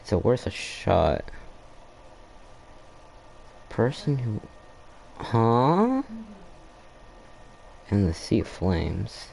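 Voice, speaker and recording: A gamer man mutters casual asides over a playthrough. The voice is deep and gravelly, with a dark tone and faint noise in the background. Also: big pitch swings, very slow, pauses often. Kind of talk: casual off-the-cuff game commentary.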